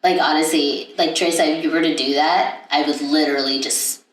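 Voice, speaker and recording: Young woman podcast co-host, low voice, loose chatty delivery full of fillers, picked up from across an echoey room. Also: fairly even intonation, normal speed. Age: young adult. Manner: rambling, with many fillers and restarts. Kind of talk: casual chat. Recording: echoey room, mic at a distance.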